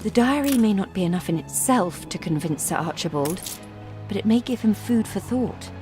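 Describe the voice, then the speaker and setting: An actress playing a clever, determined heroine who speaks thoughtfully and resolutely in a video game. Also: female voice, medium pitch, lively intonation, normal speed, music in the background, natural voice. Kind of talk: dramatic video game dialogue.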